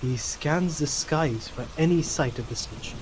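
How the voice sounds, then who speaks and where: A man's voice: a little high, unhurried. A man narrating a scripted story for an audio drama. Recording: noisy, rain and thunder effects behind.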